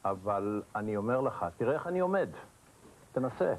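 A man's voice: medium pitch, big pitch swings, slight background noise, low-quality recording. A man in a comedy sketch bragging as a sports pundit. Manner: boastful.

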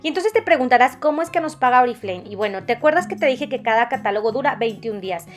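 A woman's voice: fairly high pitch, animated, quick pace, faint music behind. A woman with a Mexican accent giving a casual explainer voice-over, enthusiastic and chatty, speaking straight to the listener. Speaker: network marketing recruiter and presenter. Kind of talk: casual explainer voice-over for drawn whiteboard video.

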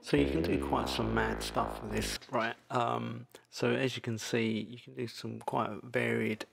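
A man presenting an informal software tutorial, speaking in a hesitant, loosely improvised way. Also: medium pitch, steady tone, measured pace, gravelly, music in the background. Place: indoors, screen-recording voice-over. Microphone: microphone into audio software.

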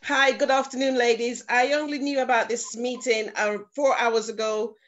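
A woman's voice: fairly high pitch, fairly even intonation, normal speed, a bit bright. Effusive woman on a video call. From not Nigeria. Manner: effusive, emotional, encouraging. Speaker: attendee. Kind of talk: casual online meeting remarks.

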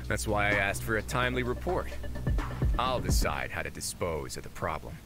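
Over loud music comes a stern game-character warden with a low voice. He is composed, cool and authoritative. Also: big pitch swings, normal speed. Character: stern, composed young male prison warden.

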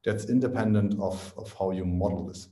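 A man in his forties with a low, mellow voice answers technical questions over a video call. He explains plainly, often tags "right?" onto his points, and restarts sentences as he goes. The sound is roomy, with echo from his room at home. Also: moderate pace. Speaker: conference speaker. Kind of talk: casual technical Q&A. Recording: echoey room.

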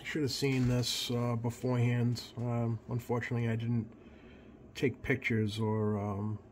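Man, medium-pitched voice, casual demonstration, halting unscripted delivery, noticeable background noise. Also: level tone, a little mellow. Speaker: radio repair hobbyist presenter. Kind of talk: casual hands-on demonstration talk. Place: indoors at a workbench.

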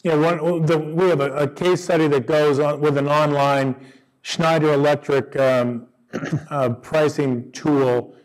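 A man's voice: on the high side, fairly even intonation, takes it slowly, poor audio. A man on a conference panel in a conference room, explaining costs and margins in a matter-of-fact way. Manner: explanatory, business-focused. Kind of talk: panel discussion.

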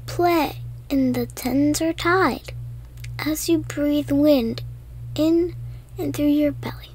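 A woman with a high, light voice narrates a guided meditation for children. She speaks very slowly and leaves pauses between short phrases. Her delivery is gentle and hushed, often breathy.

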